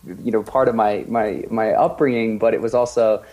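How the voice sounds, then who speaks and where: A man with a French accent gives relaxed, somewhat hesitant answers as an interview guest. His voice has a warm, mellow tone, and he sometimes laughs at himself while speaking. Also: fairly low pitch, moderate pace. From France. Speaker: guest, ultra runner.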